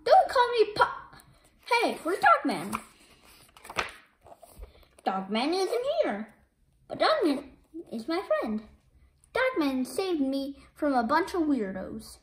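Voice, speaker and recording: A woman's voice: high-pitched, huge pitch swings, slow, long pauses, roomy sound, full character voice. A woman voicing a small, innocent kitten boy who chats eagerly and earnestly in a storybook. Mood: cheerful, earnest.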